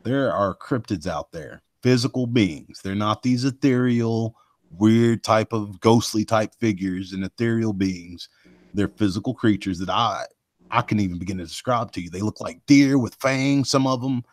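A man with a deep voice that carries, hosting a live show and rambling folksily with many asides to his listeners. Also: normal speed, no room sound, clean studio sound. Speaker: host of a cryptid research live show. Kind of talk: casual live podcast chat.

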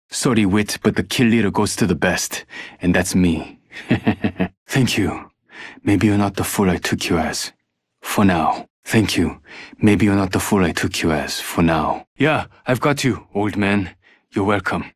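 An actor with a Korean accent playing a guarded, sardonic younger hacker in a video game, terse and cool. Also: male voice, fairly low pitch, unhurried, no room sound, clean studio sound. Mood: smug, then brisk. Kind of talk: video game character voice lines.

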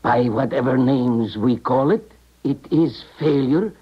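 An actor playing a stern, formal, wary Eastern Bloc colonel in a 1970s radio drama, measured in his phrasing. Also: mid-range voice, slightly rough. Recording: muffled old radio broadcast.

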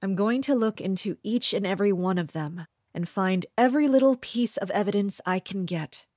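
Woman acting as distrustful female mercenary leader, audio drama, introspective, measured delivery, mid pitch, dry sound. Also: a little mellow, no echo, natural voice. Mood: determined. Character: guarded, distrustful woman commanding mercenaries, brooding.

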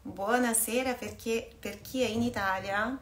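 Lively woman hosting a home livestream. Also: a little high, a little slow. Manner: lively, mixes Italian words into Portuguese. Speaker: host. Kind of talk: casual live-stream interview. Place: small home office, indoors.

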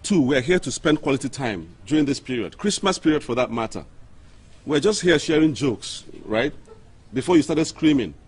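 A man's voice: medium pitch, sing-song, a little fast, breathy edge, heavily acted. A man playing a young, teasing husband in a film drama, with a Nigerian accent and an easygoing, explanatory way of talking. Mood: protective, firm. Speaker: actor.

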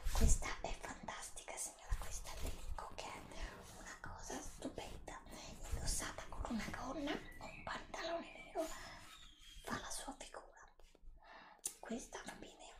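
Slowly whispering woman, ASMR shop roleplay. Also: on the high side, roomy sound. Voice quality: breathy whisper. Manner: gentle, attentive sales patter to an imagined customer. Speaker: ASMR creator playing a clothing shop assistant.

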